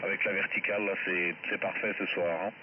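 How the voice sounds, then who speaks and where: Muffled shortwave reception with clear static carries a low-voiced man. He is an amateur radio operator, chatting casually with another station over the air. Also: level tone, quick pace. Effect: shortwave amateur radio transmission. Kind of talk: casual radio contact chat. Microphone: heard through a radio receiver.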